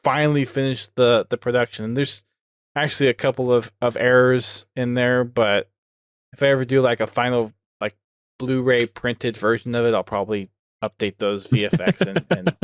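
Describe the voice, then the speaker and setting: A man's voice: medium pitch, moderate pace, smooth, no room sound. Casual male podcast host, dry close sound. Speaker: podcast host and video producer.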